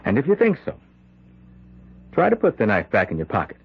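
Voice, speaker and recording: A man's voice: medium pitch, wildly swooping pitch, brisk, vocal fry, husky, phone-quality sound, heavily acted. An actor playing a dying man possessed by a killer's spirit, speaking urgently and commandingly in an old-time radio drama. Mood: insistent, probing.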